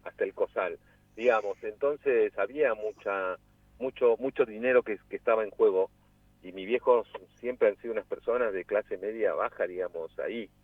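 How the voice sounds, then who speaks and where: Low-voiced man on a tinny phone line. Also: moderate pace, dry recording. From Peru, raised in Cusco. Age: around 60. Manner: reflective, searches for words with many repeats. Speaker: guest actor. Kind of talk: radio interview by phone.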